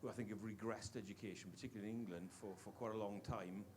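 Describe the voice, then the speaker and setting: A man with a Welsh accent, a longtime teacher, asking an earnest, halting question from the floor at a panel talk. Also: fairly low pitch, fairly even intonation, a little mellow, a little hiss or hum. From Wales. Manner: earnest, halting, many restarts. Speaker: audience member, teacher.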